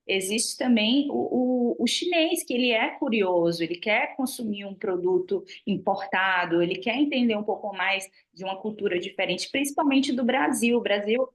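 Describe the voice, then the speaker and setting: A Brazilian woman with a Brazilian Portuguese accent, talking about business as a webinar guest over a video call, chatty and full of fillers. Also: medium pitch. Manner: chatty, frequent fillers and restarts. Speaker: guest speaker on trade. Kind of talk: webinar talk. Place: lives in China.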